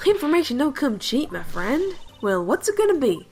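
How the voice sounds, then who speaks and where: A woman's voice: high voice, very animated, moderate pace, a little mellow, soft background music. A woman playing a brash, folksy character with a Southern US accent in a video game fan dub. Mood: brash, bargaining. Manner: drawling, colloquial, pushy. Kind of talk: visual novel game fan dub acting.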